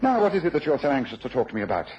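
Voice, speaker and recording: An actor playing a restless, analytical gentleman detective in a radio drama, theatrical and precise. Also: on the high side, very animated, racing. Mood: courteous, probing. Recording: dull lo-fi radio recording, faint noise.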